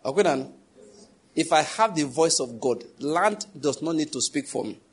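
Husky pastor preaching emphatically. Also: male voice, fairly high pitch, expressive, normal speed. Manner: emphatic, repeats key phrases, asks the congregation questions. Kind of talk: sermon.

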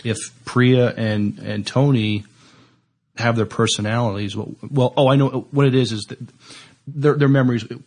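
A man co-hosting a podcast, chatting casually and haltingly, often repeating words as he thinks aloud. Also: medium pitch, fairly even intonation, darkish tone. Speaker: podcast co-host. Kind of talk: casual panel chat.

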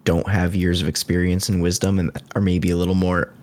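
A man's voice: low voice, flat delivery, a little fast, darkish tone, no echo, clean studio sound. A man in his twenties chatting on a podcast in a thoughtful, tentative way. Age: 20s. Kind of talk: casual podcast chat.

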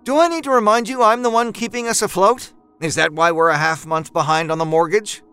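A man's voice: extremely high pitch, expressive, slow pace, pitched above the performer's own voice, full character voice. A man voicing an exasperated adult wife who scolds her husband bluntly. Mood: scolding exasperated. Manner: sharp, scolding.

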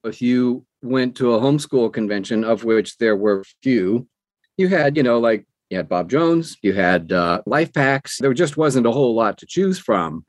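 A man's voice: mid-range voice, animated, moderate pace, no echo. A man in his sixties talking conversationally as an interview guest, in a folksy way with phrases repeated for emphasis. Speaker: interview guest, audiobook narrator.

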